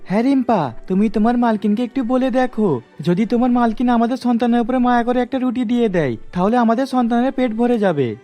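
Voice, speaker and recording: A man's voice: very high-pitched, a little fast, mellow, soft background music. An actor playing a poor, anxious father in a cartoon story, earnest and urging.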